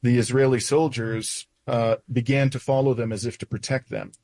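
Halting man in his sixties, North American accent. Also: medium pitch, steady tone, gravelly, mellow. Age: 60s. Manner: halting, with frequent uhs and restarts. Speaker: interview guest. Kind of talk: recounting events in an interview.

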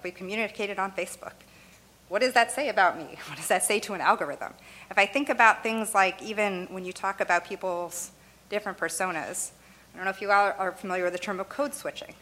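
Talkative woman, native Arabic speaker. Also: mid-range voice. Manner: lively, talks with "you know" and "right?", laughs lightly. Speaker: interviewee. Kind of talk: conversational explaining.

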